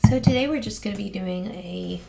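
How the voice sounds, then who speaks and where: A woman with a hoarse, raspy voice, chatting casually as host of her own get-ready-with-me vlog at home, rambling with frequent ums. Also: medium pitch, brisk, dark tone, slight background noise. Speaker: beauty vlogger host.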